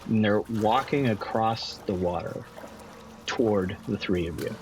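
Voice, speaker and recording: A man acting as game master, narrating a tabletop roleplaying scene in halting, descriptive phrases. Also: on the low side, a little mellow, a little hiss or hum.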